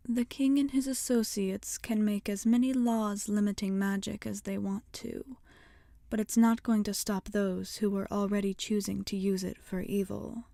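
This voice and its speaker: A woman voicing a wry, rebellious witch shopkeeper in a soft-spoken ASMR roleplay, often slipping into whispers. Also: medium pitch, flat delivery, moderate pace, gravelly, no room sound, studio quality, close to an everyday voice. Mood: defiant, frank.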